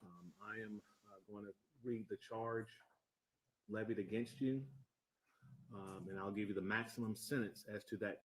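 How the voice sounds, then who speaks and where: A man presiding as a judge, explaining court procedure in a measured, plain-spoken and somewhat hesitant way. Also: lowish voice, fairly even intonation, low-quality recording. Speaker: judge presiding over a bond hearing.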